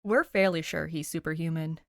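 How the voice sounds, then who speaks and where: A woman's voice: sits low, normal speed, vocal fry, a bit bright, dry recording, clean studio sound, voice pushed down. A woman voicing an easygoing, playful young man in an audiobook story, casual and joking. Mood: fond, matter-of-fact.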